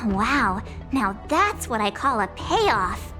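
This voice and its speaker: A woman's voice: a little high, big pitch swings, slow, pushed and bright, heavily acted. A woman voicing a perky, breezy young female adventurer in a fantasy game, casual and exclamatory. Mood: cheerful, impressed. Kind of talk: scripted game voice acting. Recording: background music.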